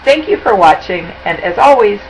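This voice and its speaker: A woman's voice: medium pitch, big pitch swings, normal speed, slight background noise, poor audio. A woman in her forties, speaking to a webcam in a chatty, upbeat, conversational way as she presents tips over slides. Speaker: auction consultant presenting tips. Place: indoor room. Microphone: webcam at desk.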